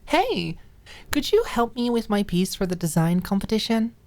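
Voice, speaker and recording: A woman voicing an eager, higher-pitched young design student. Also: sing-song, moderate pace, a little mellow, dry recording. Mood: hopeful pleading. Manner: pleading, polite. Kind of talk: dramatized audiobook dialogue.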